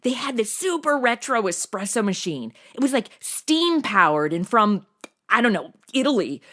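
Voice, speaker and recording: A woman's voice: fairly high pitch, sing-song, normal speed, a bit bright. A woman playing a chatty, cheeky, jittery modern young woman in a comedy audio drama, slangy and over-caffeinated.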